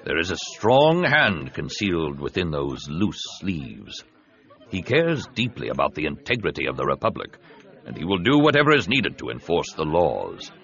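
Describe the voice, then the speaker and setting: A man voicing a big, scheming alien senator in an audiobook, with measured, persuasive delivery. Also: a bass voice, very animated, a bit bright, dull audio, exaggerated voice. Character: scheming, soothing, heavyset male alien senator and host.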